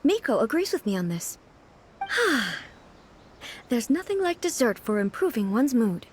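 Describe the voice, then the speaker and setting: A woman playing a composed, curious and naively frank female ruler in a video game, speaking in a measured, formal way. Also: fairly high pitch, big pitch swings, slight background noise. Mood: pleased. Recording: faint music behind the voice.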